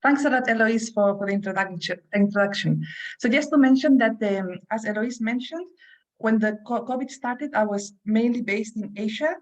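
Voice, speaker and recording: A woman's voice: on the high side, moderate pace, rough recording. A woman from Spain with a Spanish accent, speaking earnestly as a public health academic on an online panel and often repeating words as she restarts.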